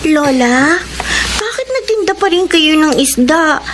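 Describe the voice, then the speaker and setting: A woman's voice: sits high, animated, a little slow, over music. A woman with a Filipino accent voicing a caring, worried young schoolgirl granddaughter in a radio drama, respectful and concerned.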